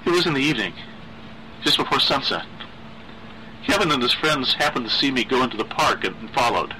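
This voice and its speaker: A man voicing a timid, unhinged teenage boy in a story, with a halting, high-pitched stutter. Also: animated, noisy background, natural voice. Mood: agitated. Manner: halting, repeating words as he stammers.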